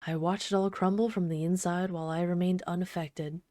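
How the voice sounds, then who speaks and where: A woman playing an obsessive, sweetly menacing supervillain in an ASMR roleplay, soft-spoken, doting, possessive and taunting. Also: lowish voice, fairly even intonation, brisk, dry recording, clean studio sound, close to an everyday voice. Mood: smug, scornful. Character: obsessive yandere female supervillain, sweetly menacing, adoring her captive.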